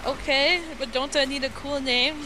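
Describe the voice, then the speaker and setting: A woman with a high voice tells a story off the cuff in a casual chat. The delivery is halting and rambling, slipping into quoted dialogue. It sounds like a noisy amateur voice chat. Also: steady tone. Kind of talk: casual improvised storytelling over a game chat.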